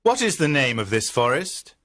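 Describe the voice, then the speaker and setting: A man's voice: mid-range voice, very animated, moderate pace, a bit bright, voice pushed up. A man voicing a tired crusader knight back from war, formal and courteous in a storybook reading.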